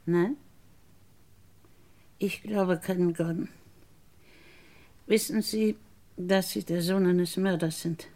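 An actress playing a bitter, wronged convicted woman in a radio crime drama, deliberate and insinuating, with a husky low voice. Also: fairly even intonation, warm tone. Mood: accusing, probing. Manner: deliberate, halting, insinuating, dropping into whispers. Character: bitter, wronged woman once convicted of murdering her sister.

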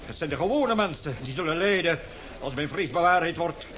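An actor playing an older, indignant scientist professor in a radio play, vehement and lecturing, halting at times. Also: male voice, on the high side, expressive, a bit bright, a little hiss or hum. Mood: indignant, defiant. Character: indignant, passionate scientist professor with a weak heart. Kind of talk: radio drama acting.

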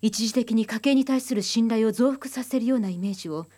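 An actress playing a composed, analytical psychology expert in a radio drama, thoughtful and probing in manner. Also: female voice, a little high, brisk, mellow, lightly acted. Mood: probing, grave.

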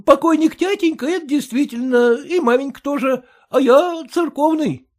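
A man's voice: very high voice, moderate pace, voice pushed up. A man voicing a young male shop clerk who is humble and obsequious, in a story reading. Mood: fawning. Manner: obsequious, adds polite -s to words. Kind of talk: audiobook character reading.

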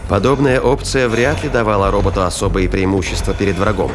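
A man narrating a scripted audio drama in a measured, literary storytelling manner. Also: on the low side, fairly even intonation, background noise.

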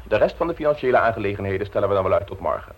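An actor playing a suave, insolent blackmailer in a radio play, mockingly polite and smoothly domineering. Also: male voice, on the low side, very animated, rapid-fire, muffled recording, heavily acted. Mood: smug, commanding. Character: suave, insolent male blackmailer installing himself as private secretary.

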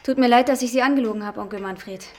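An actress playing a cool, self-interested young waitress, matter-of-fact and hard-edged, in a radio crime drama. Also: female voice, a little high, quick pace, darkish tone, close to an everyday voice. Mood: resolute. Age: young adult.

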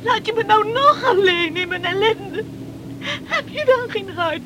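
A woman's voice: very high voice, animated, a little slow. An actress playing a distraught, reproachful young island woman in a Dutch radio play, tearful and pleading. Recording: old radio recording with faint noise.

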